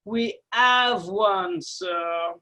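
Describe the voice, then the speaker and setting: A woman's voice: fairly high pitch, sing-song, very slow, gravelly, sharp tone, exaggerated voice. A woman playing a stiff, impatient French ambassador with curt, formal manners in a radio play table read. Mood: strained politeness. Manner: formal, curt, strained politeness.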